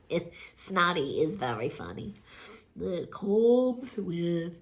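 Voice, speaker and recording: Slow-talking stuffy-nosed giggly woman. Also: on the low side, big pitch swings. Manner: slow, giggly, laughing through words. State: stuffy nose from a cold. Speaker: laughter yoga host. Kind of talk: laughter exercise session. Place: sunny living room at home.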